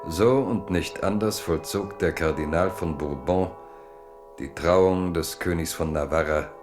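A man's voice: low-pitched, measured pace, over music. A man reading literary narration for a radio play.

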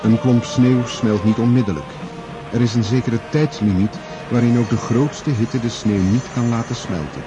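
A man narrating a radio drama in a measured, formal, literary storytelling manner. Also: medium pitch, fairly even intonation. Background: music.

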